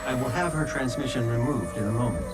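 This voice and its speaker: A man's voice: mid-range voice, expressive, quick pace, lightly acted. A man playing a composed machine intelligence in a video game, giving formal, precise mission briefings. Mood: composed concern. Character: composed male machine intelligence guiding a soldier. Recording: distant sound, loud music behind.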